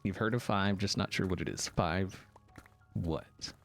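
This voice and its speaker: A man hosting a game live stream, chatting casually and thanking viewers, with a gravelly morning voice. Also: low-pitched, a bit bright, faint music behind. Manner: playful, jokey, thanks donors rapidly. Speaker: livestream host.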